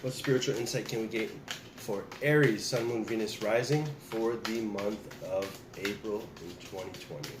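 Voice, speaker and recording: A man's voice: fairly low pitch, moderate pace, roomy sound, noisy background. A man giving a casual tarot reading, talking straight to his viewers while getting over the flu. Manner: relaxed, repeats and restarts, addresses viewers directly. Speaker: tarot reader.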